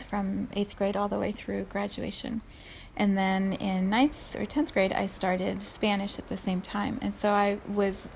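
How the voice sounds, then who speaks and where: A woman in her forties talks slowly and thoughtfully, and her voice has a gravelly edge. Her pitch stays fairly flat and level, and a faint hiss sits under the recording. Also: poor audio. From South Africa. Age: 40s. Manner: unhurried, thoughtful, laughs softly at herself. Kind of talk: reflective solo talk.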